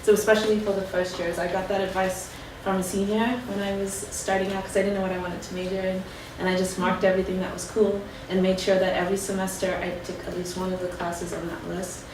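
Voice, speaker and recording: A woman's voice: mid-range voice, level tone, fast, hardly any pauses. A woman on a career panel who gives casual, chatty advice with many fillers. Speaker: alumna panelist. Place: lecture room. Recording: echoey room, distant mic, background noise.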